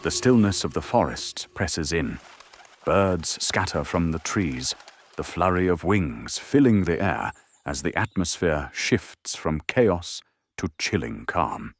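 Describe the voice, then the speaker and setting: A man's voice: sits low, expressive, slow, husky, dry recording. A man reading scripted, dramatic narration as the narrator of an audio drama. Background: music.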